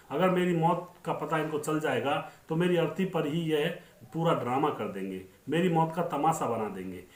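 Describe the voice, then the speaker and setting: A man narrating film-star life stories in an informal, conversational way, addressing viewers directly and restating points for emphasis. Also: fairly high pitch. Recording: roomy, echoing voice-over.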